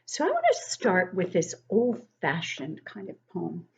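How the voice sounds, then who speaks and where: An older woman giving an interactive read-aloud lesson for young children, encouraging and expressive, asking questions and explaining things simply. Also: fairly low pitch, vocal fry, slightly rough. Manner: encouraging, asks questions and pauses to praise answers. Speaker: children's book club teacher reading poems aloud.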